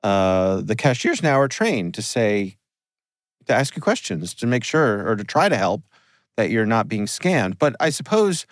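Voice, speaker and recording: A man co-hosting a podcast, relaxed and wry in casual chat. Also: lowish voice, measured pace, no echo. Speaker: podcast co-host.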